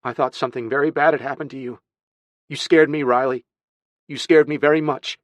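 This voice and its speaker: A stern, emotional young woman, voiced by a male audiobook narrator, scolds with a sharp, firm edge. The pitch is lifted and the sound is close and dry. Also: steady tone, fairly quick, no echo, close to an everyday voice. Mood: shaken, upset. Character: distraught, stern young aunt scolding a little boy.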